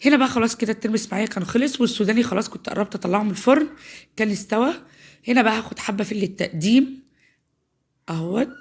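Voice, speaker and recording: A woman's voice: mid-range voice, slightly rough. An Egyptian woman with a cold, chatting through a home cooking tutorial step by step. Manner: chatty, talks viewers through each step. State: voice changed by a cold. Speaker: home cook. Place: home kitchen.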